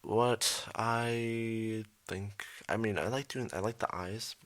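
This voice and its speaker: A young man hosting his art channel, rambling through a late-night voiceover with lots of ums, speaking quietly and a little raspy. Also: lowish voice, fairly even intonation, slow pace, no room sound. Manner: rambling, many ums, trailing off. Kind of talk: casual voiceover over a speed drawing. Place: his bedroom at home, at night.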